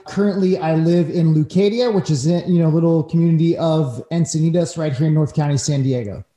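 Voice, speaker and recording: A man from northern California tells personal stories in a relaxed, casual chat. His voice is mellow and on the higher side for a man. The room around him echoes clearly. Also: brisk. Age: 40s. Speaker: podcast guest, real estate broker. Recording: roomy echo.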